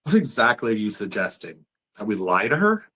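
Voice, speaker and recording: A man's voice: on the low side, sing-song, a little fast, pushed and bright, slightly rough, heavily acted. A man playing an authoritative psychiatric doctor in a dramatic table read, questioning and insistent. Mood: stern, skeptical.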